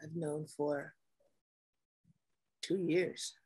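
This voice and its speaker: A woman acting a grieving, guarded female naval officer and caretaker at a screenplay table reading, with measured, halting delivery. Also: low-pitched, fairly even intonation, darkish tone, breathy edge, exaggerated voice. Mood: guarded, subdued.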